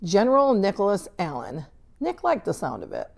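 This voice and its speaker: A woman's voice: medium pitch, wildly swooping pitch, normal speed, gravelly. A woman reading a children's book aloud as a scripted narration. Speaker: reader.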